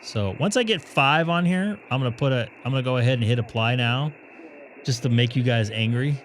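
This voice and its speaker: A man hosting a ham radio live stream, chatting and thinking aloud as he operates, his voice going hoarse. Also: mid-range voice, fairly quick, a little hiss or hum. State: losing his voice.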